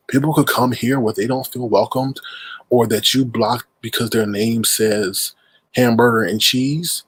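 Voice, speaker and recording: A man's voice: medium pitch, a bit bright, breathy edge, dry recording, rough recording. Stuffy-nosed man hosting a livestream. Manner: rambling, greets chatters mid-sentence. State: stuffed-up nose. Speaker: livestream host. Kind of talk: casual livestream talk to chat.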